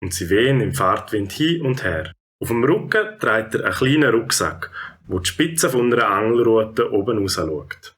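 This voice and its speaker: A male narrator of a children's audio story. His voice is on the low side and husky, with very lively intonation and a Swiss German accent. He tells the tale expressively. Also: moderate pace.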